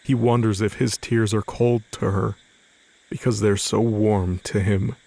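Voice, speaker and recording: A man's voice: on the low side, fairly even intonation, measured pace, gravelly, mellow. A man reading scripted narration for an audio drama in a dramatic storytelling style. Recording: faint music behind the voice.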